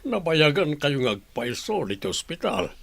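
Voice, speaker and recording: Animated high-voiced grandson played by a man. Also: unhurried, creaky, slightly rough, exaggerated voice. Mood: brusque. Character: cheeky, idle adult grandson of a well-off family. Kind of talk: radio comedy drama acting.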